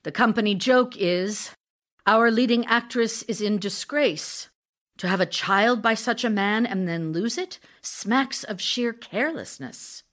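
A woman's voice: mid-range voice, measured pace, fairly bright tone, natural voice. Woman acting a passionate, lovesick actress wife. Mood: bitter, ashamed. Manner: theatrical, emotional, confiding. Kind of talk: audio drama reading of love letters.

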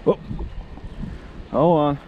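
A man's voice: extremely slow, narrow, tinny audio, background noise. A man hosting a casual magnet fishing vlog, chatting outdoors by the water. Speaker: magnet fishing video host.

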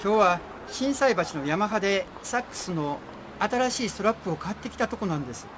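A woman's voice: medium pitch, expressive, a little fast, noisy background, natural voice. A woman acting in a radio drama as a friendly, chatty saxophone player out shopping. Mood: cheerful.

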